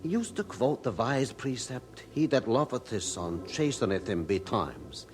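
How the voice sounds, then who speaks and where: An actor playing an older reformer narrating his own life story in a radio drama, measured and reflective. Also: mid-range voice, big pitch swings, a little mellow, slight background noise. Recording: music under narration.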